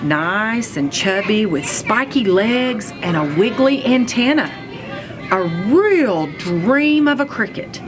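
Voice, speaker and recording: A woman reads a children's storybook aloud with playful expression. Her pitch moves around a great deal, and she takes her time at an unhurried pace. Music plays behind her voice. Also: a crowd in the background. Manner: expressive, playful, acts out sounds and exclamations. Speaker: read-aloud reader, school superintendent.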